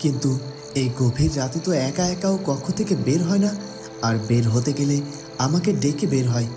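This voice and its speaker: A man's voice: a little high, lively intonation, moderate pace, close to an everyday voice. A man voicing a worried, devoted young prince in a cartoon, urgent and questioning in his delivery. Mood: worried, searching. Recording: loud music and night crickets behind.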